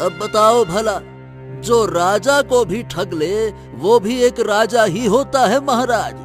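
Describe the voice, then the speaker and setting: A man voicing an excitable merchant at a cartoon royal court, emphatic and theatrical, with music behind. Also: sits high, slow. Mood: wry. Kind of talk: animated story character acting.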